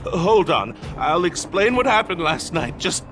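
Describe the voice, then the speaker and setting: A man voicing a nervous, easily frightened night patrolman in a video game, stammering and halting as he talks. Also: high-pitched, very animated, music in the background. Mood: flustered, relieved.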